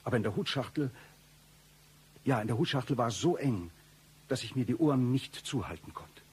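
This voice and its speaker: An actor playing a shady, self-pitying man who spins absurd hard-luck stories, deadpan and mock-tragic, in a satirical radio play. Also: medium pitch, fairly quick, slightly rough. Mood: agitated.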